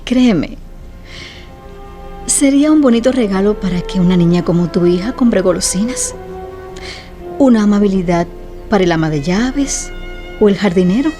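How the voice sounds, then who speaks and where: An actress plays a frank, proud woman novelist in a radio drama. Her voice is mid-pitched and mellow, and she phrases her irony with care. Music can sit behind her words. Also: expressive, normal speed, long pauses, close to an everyday voice. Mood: bitter irony. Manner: ironic, articulate.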